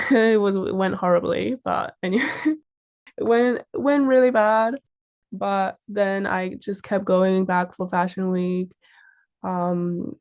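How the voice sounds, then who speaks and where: A woman's voice: lowish voice, darkish tone. A young woman with an Australian accent, chatting casually as an interview guest and telling stories in an informal way. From Sydney, Australia. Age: young adult. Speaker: fashion model, interview guest.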